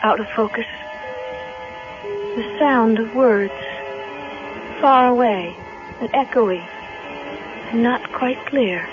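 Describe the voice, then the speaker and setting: An actress playing a frightened young captive woman in a 1970s radio drama, halting and dramatic in delivery. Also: fairly high pitch. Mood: dazed, haunted. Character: frightened, drugged young woman held captive, narrating her nightmare. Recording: muffled vintage radio recording with music.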